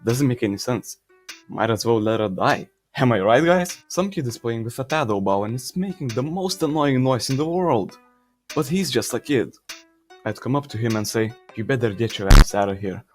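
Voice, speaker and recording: A brash, self-serving peasant, played by a man, talks in blunt slang with casual cockiness. Soft music plays behind the voice. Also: mid-range voice, lightly acted. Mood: casual, callous, then cocky. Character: brash, selfish peasant man dreaming of ruling. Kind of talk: in-character answers to read-out questions.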